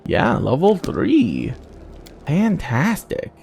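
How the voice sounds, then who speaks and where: A man's voice: mid-range voice, huge pitch swings, drawn-out words, gravelly, darkish tone, a little hiss or hum. A man giving casual playthrough commentary on a game, muttering to himself as he decides. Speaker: gaming video host. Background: game music.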